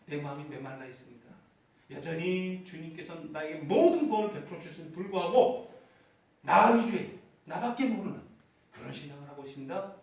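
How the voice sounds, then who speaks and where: A man preaching a sermon as pastor, asking the congregation questions and rising to fervent, shouted prayers. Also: a little high, expressive, a little fast. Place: church sanctuary. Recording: echoey church hall heard from far off.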